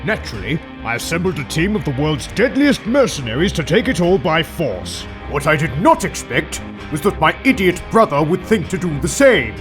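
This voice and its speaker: A pompous, scheming old magnate, played by a man holds forth in a fairly high voice that swings widely up and down. His speeches are grand and theatrical, and he bursts into furious shouting. Strong music plays beneath him throughout. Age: older. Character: rich old man, scheming and obsessed with outliving his brother. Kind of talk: fan comic dub acting. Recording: voice over loud background music.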